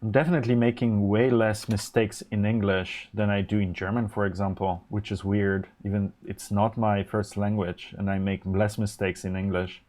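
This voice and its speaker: A man's voice: lowish voice, flat delivery, moderate pace, hardly any pauses, a little mellow. A man whose first language is Polish, hosting a live stream and chatting casually while he works, rambling with many fillers and restarts. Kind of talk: casual chat while designing electronics.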